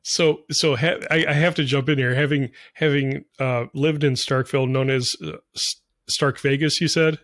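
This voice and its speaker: A man's voice: medium pitch, fairly even intonation, normal speed, fairly bright tone, dry recording, clean studio sound. Chatty upbeat male podcast host. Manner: upbeat and chatty, laughs often. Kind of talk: casual podcast interview.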